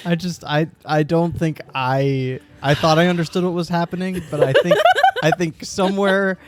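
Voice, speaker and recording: A man's voice: fairly high pitch, steady tone, normal speed, heavy background noise. A man on a casual podcast, talking hesitantly and a bit confused. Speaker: podcast regular.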